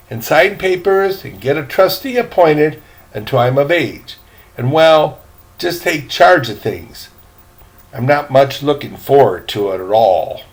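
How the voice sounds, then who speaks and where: An older man voicing a frank, polite, well-off boy in a storybook, with matter-of-fact manners. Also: fairly high pitch. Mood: grim, stoic.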